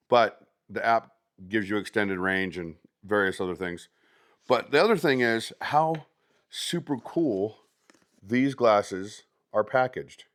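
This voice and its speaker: A man's voice: medium pitch, a bit bright. A man talking casually and conversationally as a product reviewer, showing items step by step in an unboxing video.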